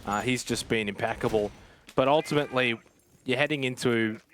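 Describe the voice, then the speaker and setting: A man's voice: medium pitch, a little fast, fairly bright tone, a little hiss or hum. Man giving analytical esports commentary. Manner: conversational, explanatory. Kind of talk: analytical live match commentary.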